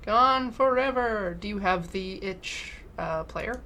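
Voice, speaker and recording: A woman's voice: mid-range voice, takes it slowly, pushed and bright. A woman co-hosting a live game stream, chatting casually and reading the odd line aloud. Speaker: co-streamer voicing lines and commenting on the game. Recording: clearly audible background noise.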